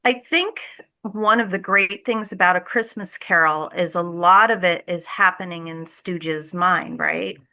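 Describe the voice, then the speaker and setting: A woman with a bright, forward voice pitched a little low. She talks conversationally as a theatre director being interviewed, earnest and enthusiastic about her work. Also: measured pace.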